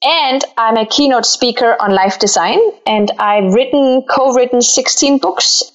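A woman from Denmark with a Danish accent, appearing as an interview guest who speaks with warm enthusiasm. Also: a little high, measured pace, lo-fi sound. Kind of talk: interview guest introducing herself.

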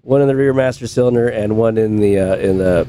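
A man's voice: fairly low pitch, brisk, dark tone, slight background noise. A man on a casual podcast who thinks aloud as he counts out motorcycle parts. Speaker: podcast co-host. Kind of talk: casual chat.

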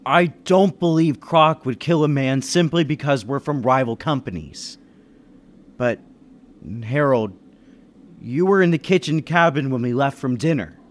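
An actor playing a level-headed businessman who narrates a murder mystery in old-time radio style, measured and reasoning. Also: mid-range voice, close to an everyday voice. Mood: calm, reasoning. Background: music behind the voice.

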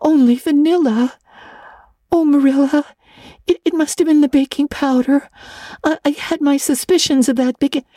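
Woman putting on a dramatic orphan girl, way up high, tearful, breathy. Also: moderate pace, few pauses, warm tone, dry recording, studio quality.

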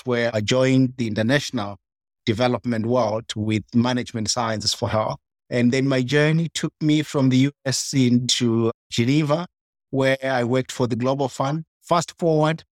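A middle-aged man with a Zimbabwean accent, giving measured answers as an interview guest. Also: medium pitch, no echo, studio quality. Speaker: interview guest, executive director and pharmacist.

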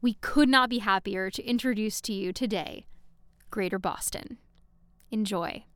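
A woman's voice: mid-range voice, unhurried, a bit bright, clean tone, dry recording. A woman hosting a podcast, introducing another show in an enthusiastic, chatty way with small fillers. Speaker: podcast host. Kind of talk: casual promo introduction.

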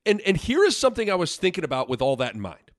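A man's voice: high-pitched, wildly swooping pitch, fast, no room sound, studio quality. A young man hosting a podcast in casual sports talk, congested from a sinus infection, rambling and correcting himself as he goes. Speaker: podcast host.

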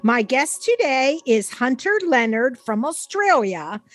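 A woman's voice: sits high, sing-song, measured pace, faint music behind. A woman, interviewing conversationally as a podcast host. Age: 70s.

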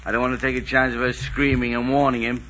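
Man acting rough redcoat leader, very sharp raspy voice, mocking, bossy, dull old radio recording. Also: medium pitch, flat delivery, fast, lightly acted. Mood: leering mockery. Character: brusque, leering soldier in command of redcoats. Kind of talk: 1940s radio drama acting.

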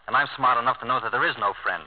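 A man's voice: medium pitch, very fast, close to an everyday voice. An actor playing a brash, blunt, self-assured young male lawyer in 1950s radio drama style, curt, tough and wisecracking. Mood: mocking. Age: young adult. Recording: narrow-band 1950 radio broadcast.

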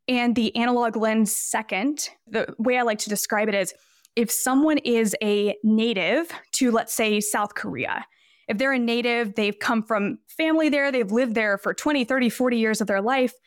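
Twenty-something woman on a podcast, highish voice, dry close sound, explains stuff in long examples. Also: dry recording. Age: 20s. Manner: animated, explains ideas at length with examples. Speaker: podcast guest. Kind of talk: casual interview talk.

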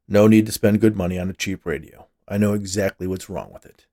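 A man voicing a grumpy, sarcastic, dismissive husband with a gruff, grumbling delivery in a storybook reading. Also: low-pitched, level tone, a little fast, warm tone, no room sound, studio quality, natural voice. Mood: irritated.

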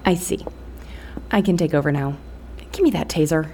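A woman's voice: low voice, moderate pace, warm tone, a little hiss or hum. An actress playing a cool, casually frank woman in an audio drama, full of questions. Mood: wary.

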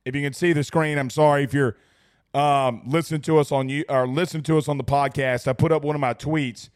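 A young man hosting a post-game sports livestream, hoarse and losing his voice, excitable and rambling as he reads viewer messages. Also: fairly high pitch, brisk. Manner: excitable, rambling, repeats himself. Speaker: sports talk show host. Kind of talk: excited post-game livestream chat reading super chats.

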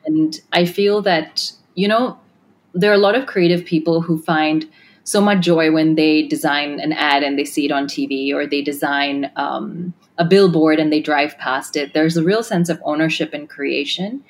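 A woman's voice: sits low, normal speed. A woman who grew up in Kenya and Australia, founder of a tech design firm, answering interview questions thoughtfully with many fillers and restarts.

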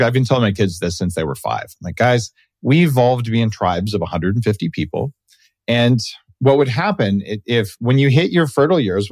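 Low-voiced jokey male podcast host. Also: darkish tone, dry recording. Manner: jokey, enthusiastic, laughs while talking. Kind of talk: casual interview chat.

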